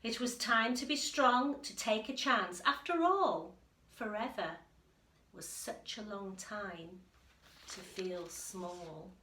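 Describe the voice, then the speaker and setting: A woman reading a children's picture book aloud like an infant school teacher, animated and emphatic, with chatty asides. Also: medium pitch, a little hiss or hum. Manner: animated, deliberate storyteller with emphatic delivery. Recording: roomy, echoey indoor sound.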